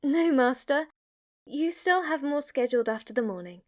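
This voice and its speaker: A woman's voice: high-pitched, expressive, moderate pace. A woman playing a devoted, submissive demon maid in an ASMR roleplay, with a formal, deferential and adoring manner. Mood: deferential, composed. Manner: formal, deferential, breathless adoration, sometimes whispering. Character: devoted, submissive, obsessively worshipful female demon maid servant.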